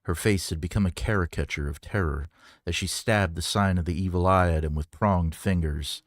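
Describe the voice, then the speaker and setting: A man reading a novel aloud in a measured, dramatic storytelling manner. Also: low voice, no room sound, clean studio sound. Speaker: audiobook reader. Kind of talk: scripted fiction narration.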